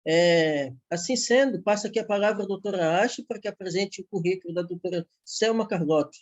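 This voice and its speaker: A man announcing the schedule as conference moderator, halting with many restarts. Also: high-pitched. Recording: dull lo-fi audio.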